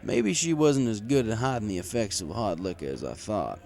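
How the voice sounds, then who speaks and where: A man's voice: fairly low pitch, animated, moderate pace, gravelly, darkish tone. A man reading a scripted first-person story in the manner of an audiobook narrator. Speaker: story reader.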